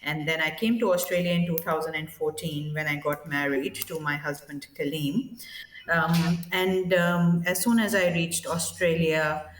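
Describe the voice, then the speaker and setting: Podcast guest, woman in her thirties from Pakistan, halting delivery full of ums, set back from the mic in an echoey room. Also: low voice, recorded from a distance, a little hiss or hum. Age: 30s. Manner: hesitant, many fillers and restarts. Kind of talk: podcast interview.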